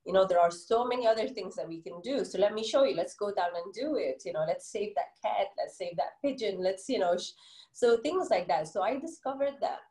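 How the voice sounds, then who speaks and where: A woman's voice: mid-range voice, expressive, a little fast, warm tone, reverberant. A middle-aged woman from Egypt with an Egyptian English accent, an author answering interview questions earnestly, with many "you know" fillers. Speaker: children's book author, guest.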